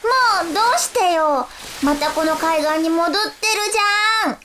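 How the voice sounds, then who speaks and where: A woman playing an exasperated castaway high school girl in a radio drama, blunt and complaining, with chatty narrating asides. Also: animated, normal speed, very noisy. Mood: frustrated effort. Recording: music behind the voice.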